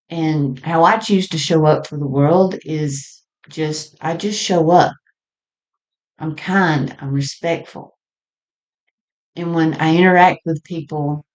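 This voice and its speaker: Slow, low-voiced woman musing aloud. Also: a little mellow. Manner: halting, reflective, trails off mid-thought. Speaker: podcast host. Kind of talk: unscripted spiritual monologue.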